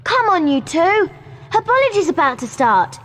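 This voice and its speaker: A woman's voice: very high voice, sing-song, normal speed, heavily acted. An actress playing a bossy, earnest, studious schoolgirl witch in a video game, prim in manner. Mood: eager, bossy. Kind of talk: video game character acting. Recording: loud game music underneath.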